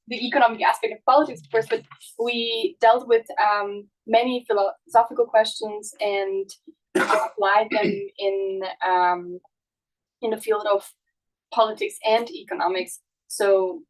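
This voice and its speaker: A young woman from Slovakia giving unscripted answers as a student on a panel, often pausing mid-phrase. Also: medium pitch, steady tone, low-quality recording. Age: young adult.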